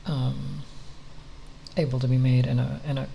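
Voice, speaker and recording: A speaker giving an unscripted ASMR ramble in a halting, nerdy, hesitant manner with long pauses. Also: medium pitch, level tone, gravelly, dark tone. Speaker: ASMR content creator. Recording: faint background noise.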